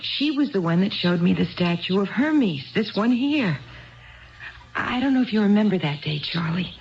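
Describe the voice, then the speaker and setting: A woman's voice: medium pitch, lively intonation, normal speed, darkish tone, natural voice. Casting: actress for earnest, rambling married woman a few drinks in, mid-range voice, 1970s radio drama, muffled tape. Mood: eager, confiding. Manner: wistful, digressive, repeats herself. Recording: dull lo-fi 1970s radio broadcast.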